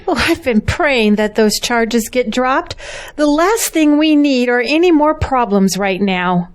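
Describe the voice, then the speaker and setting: An actress playing an anxious, fretful pastor's wife in an audio drama, worried and emotional. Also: female voice, fairly high pitch, lively intonation, unhurried.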